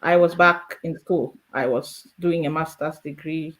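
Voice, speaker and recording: A woman's voice: sits low, fairly even intonation, measured pace, a bit bright. Cameroonian-accented woman telling her story. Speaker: guest, immigrant in politics. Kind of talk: conversational interview answers, storytelling.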